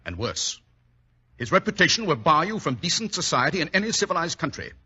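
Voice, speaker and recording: A self-assured, courtly detective in an old radio drama. His voice is forceful and animated, with a husky edge and theatrical delivery. The recording is dull and lo-fi. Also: fairly high pitch, brisk, full character voice. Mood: stern, urgent. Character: gentlemanly, confident, shrewd male detective. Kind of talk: 1940s radio acting.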